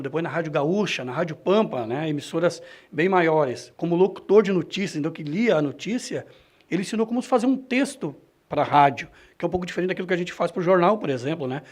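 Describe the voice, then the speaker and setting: A man answering interview questions about his own experience, in a halting, self-correcting way. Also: on the high side. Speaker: radio reporter and presenter being interviewed. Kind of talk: reflective interview answer, personal anecdotes.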